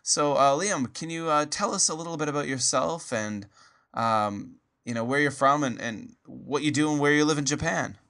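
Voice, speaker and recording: A man's voice: a little high, normal speed, sharp tone. A Canadian man hosting a casual podcast interview, rambling with many fillers and restarts. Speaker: podcast host.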